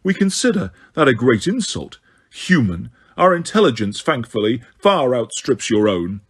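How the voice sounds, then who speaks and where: Man playing a stern centaur chief, slow and proud, audiobook voice. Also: medium pitch, very animated, breathy edge. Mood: cold, proud.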